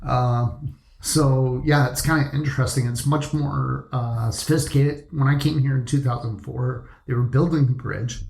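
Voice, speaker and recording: A man's voice: medium pitch, steady tone, moderate pace, creaky, darkish tone, roomy sound. A man hosting an interview, chatting casually and asking follow-up questions. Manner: conversational, asks follow-up questions. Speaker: interview host, real estate agent.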